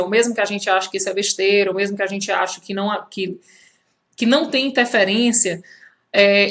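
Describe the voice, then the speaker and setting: A woman's voice: mid-range voice, fairly bright tone. A woman in her thirties from Fortaleza with a Cearense Brazilian accent, talking in a conversational teaching style, asking rhetorical questions and restarting her phrases. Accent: Cearense Brazilian Portuguese. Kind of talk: teaching monologue to camera.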